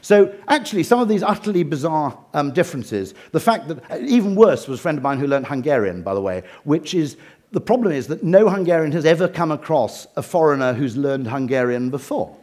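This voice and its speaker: English man, middle-aged, lively pitch, dry witty lecture delivery, ironic asides. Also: brisk. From England. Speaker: speaker giving a talk. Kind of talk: humorous lecture.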